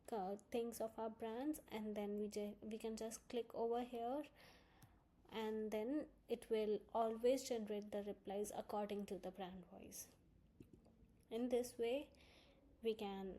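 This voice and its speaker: A woman presenting a software tutorial, explaining each step in instructional narration. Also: medium pitch. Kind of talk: screen-recorded software walkthrough, instructional narration.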